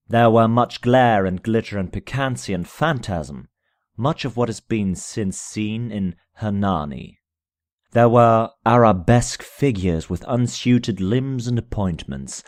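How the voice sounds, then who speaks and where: A man with a fairly low voice narrates a gothic tale in a dry, close sound. His delivery is measured and theatrical, with solemn weight and hushed drops. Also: no echo. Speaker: amateur reader of a short story. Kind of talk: dramatic scripted narration of a gothic horror tale.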